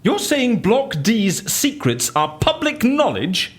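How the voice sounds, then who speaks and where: An actor playing an excitable, inquisitive schemer in an audio drama, with a theatrical, incredulous manner. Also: male voice, very high voice, takes it slowly, full character voice. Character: excitable, inquisitive man scheming inside a prison.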